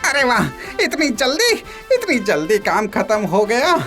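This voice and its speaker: A woman's voice: a little high, big pitch swings, a little slow, music in the background. A woman playing an impressed, envious villager in a comedy cartoon. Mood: admiring, envious. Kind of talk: animated comedy cartoon acting.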